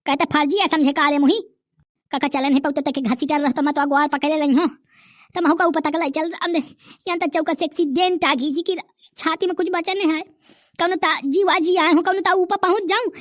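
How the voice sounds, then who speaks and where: A woman voicing a brash, quick-tempered village character in a comedy cartoon, with a Bundeli accent. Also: extremely high pitch, fairly even intonation, no echo, clean studio sound.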